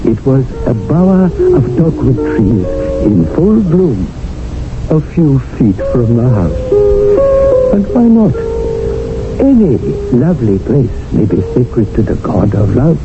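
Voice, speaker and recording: A man's voice: fairly high pitch, wildly swooping pitch, slow, exaggerated voice. An actor playing a wry, scheming male household servant who narrates in an ornate, theatrical 1970s radio drama style. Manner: sly, ornate, theatrical delivery. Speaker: narrator and manservant. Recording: tinny old radio broadcast with music.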